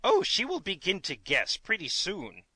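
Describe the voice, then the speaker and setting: Woman playing a blustering little gnome king, wildly animated. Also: medium pitch, normal speed, husky, no room sound, studio quality, exaggerated voice. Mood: smug. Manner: theatrical, indignant exclamations.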